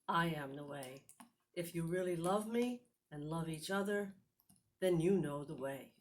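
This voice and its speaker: Woman playing kind patient holy man, gentle, deliberate, reassuring, children's storybook reading, noisy recording. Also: deep voice, expressive. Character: Jesus, kind patient male teacher, reassuring.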